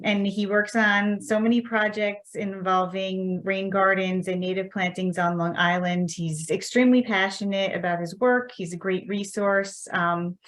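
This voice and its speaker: A woman's voice: medium pitch, a bit bright. A woman who works as a town environmental specialist, hosting and introducing an online workshop over a video call in a friendly, organized way. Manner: friendly, organized, some fillers.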